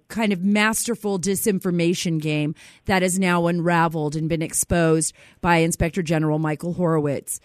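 A woman's voice: mid-range voice, a little mellow, no echo, clean studio sound. A woman hosting an interview, cutting in to explain things for the listeners. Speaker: show host. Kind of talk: interview host.

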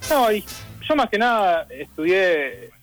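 A man's voice: high voice, a little slow. A young man from Argentina with a Rosario accent, talking casually about his music as an interview guest over a call, with many fillers. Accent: Rosario Argentine Spanish. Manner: relaxed, rambling, lots of fillers. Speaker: saxophonist guest.

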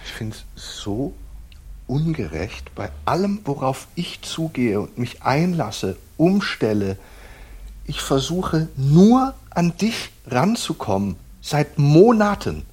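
An actor playing a stiff, aggrieved husband in couples therapy, halting and defensive, in a naturalistic German audio drama. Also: male voice, a little high, sing-song, darkish tone, husky, full character voice. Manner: halting, defensive, repeating himself.

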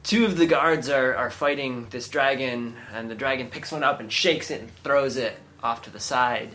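A man narrating a tabletop game as game master, often pausing on fillers as he describes the scene. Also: medium pitch, bright, forceful tone. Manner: halting narration with many fillers.

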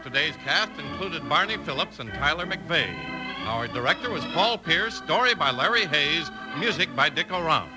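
An American man announcing in a polished, upbeat old-time radio style, delivering a scripted sponsor pitch. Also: animated, normal speed, poor audio. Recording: old radio broadcast recording. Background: music.

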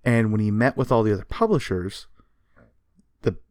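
A man's voice: lowish voice, expressive, normal speed, a little mellow, no room sound, clean studio sound. A man co-hosting a podcast, casually telling history in an emphatic, conversational, explanatory way. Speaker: podcast co-host. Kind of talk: casual storytelling of comics history.

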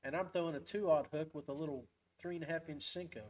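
A man's voice: on the high side, fairly even intonation, fast talker, crowd noise, low-quality recording. A man hosting a podcast, chatting casually and telling stories in a folksy, rambling way. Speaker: podcast host. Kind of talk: casual fishing podcast chat.

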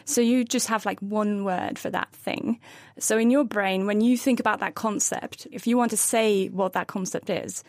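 A woman's voice: medium pitch, moderate pace. A woman talks through a science question on a radio show, building her answer one step at a time. Her voice sits in the middle of the usual range for women. The studio sound is dry and close, with no room echo. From Norway. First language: Norwegian. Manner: explains step by step, thoughtful, self-correcting. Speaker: guest scientist. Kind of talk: casual radio science chat.

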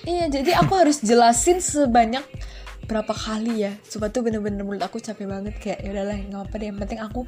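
A woman's voice: on the high side, quick pace, faint music behind. A young woman from Central Java chatting as a podcast guest, telling stories with a laugh and acting out the voices of the people she quotes. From Central Java, Indonesia. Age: young adult. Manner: lively, laughing storyteller who acts out quoted voices. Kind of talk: casual chat.

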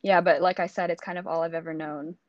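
A woman in her twenties from Singapore, a student guest talking casually in an interview, relaxed and laughing softly between thoughts. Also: on the low side, quick pace, no echo, muffled recording. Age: 20s. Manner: relaxed, with frequent fillers and soft laughs.

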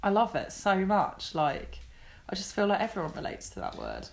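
A woman's voice: medium pitch, wildly swooping pitch, normal speed, vocal fry. Chatty woman podcast host. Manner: chatty, giggly, easily amazed. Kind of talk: casual chat.

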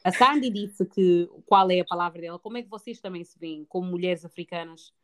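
A woman's voice: on the low side, brisk, fairly bright tone. A woman co-hosting a podcast in casual conversation. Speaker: podcast co-host.

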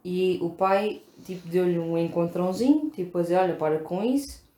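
A woman's voice: lowish voice, normal speed. A woman with a European Portuguese accent, co-hosting a casual chat and telling stories with lots of fillers. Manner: chatty, animated storytelling with lots of fillers. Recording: echoey room.

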